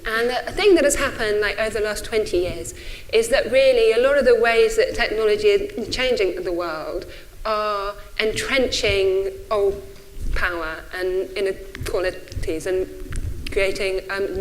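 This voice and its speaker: A woman's voice: medium pitch, slight background noise, rough recording. A woman in her forties with a stutter, giving an informal, hesitant and self-effacing symposium talk. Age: 40s. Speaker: speaker, runs a think tank.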